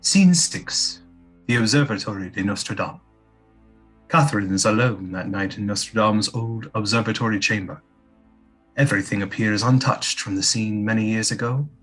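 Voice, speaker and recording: Male narrator, somewhat low, husky edge, scripted stage directions, audio drama. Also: fairly bright tone, low-quality recording.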